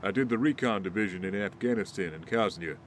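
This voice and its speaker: Over noisy ambience comes a low-pitched ex-Marine , acted by a man. He is principled and guarded, quick to moral indignation, in the manner of a superhero audio drama. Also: close to an everyday voice. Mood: kind, earnest.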